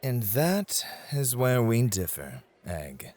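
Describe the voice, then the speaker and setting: A man playing a possessive, devoted club host in an ASMR roleplay, smooth-talking and condescending toward his rival. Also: medium pitch, big pitch swings, slow pace, a little mellow. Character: possessive, devoted male club host named Roy, courting a guest.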